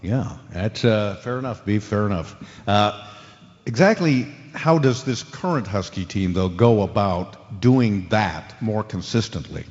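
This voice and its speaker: A man's voice: on the low side, unhurried, darkish tone, natural voice. A man playing an easygoing, patient sports radio call-in host in a radio drama, casual and dryly indulgent. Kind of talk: radio drama parody of sports talk show. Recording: dull lo-fi sound, long hall reverb.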